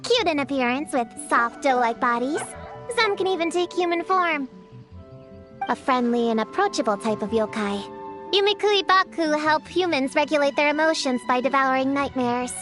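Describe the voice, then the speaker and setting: High-pitched bookish young girl. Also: animated, moderate pace, over music. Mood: informative. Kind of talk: anime-style video game voice acting.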